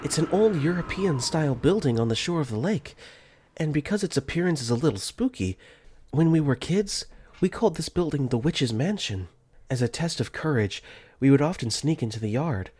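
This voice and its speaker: A man's voice: on the high side, lively intonation, moderate pace, darkish tone, husky. A man playing a wry, easygoing teenage boy. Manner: wry, conversational, joking asides. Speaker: voice actor. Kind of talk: first-person visual novel narration and dialogue.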